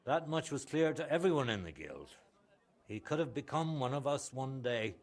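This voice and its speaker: An actor playing a solemn guild member in a video game, formal and reflective. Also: male voice, medium pitch, very animated, moderate pace. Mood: regretful. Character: solemn guild member recalling a lost colleague. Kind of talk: video game dialogue acting.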